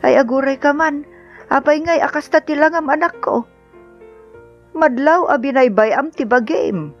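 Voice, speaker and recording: A woman's voice: high voice, unhurried, highs cut off, faint music behind. An actress playing a caring, fretful older mother of a grown daughter in a radio drama, with a Filipino Ilocano accent. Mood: curious, fussing.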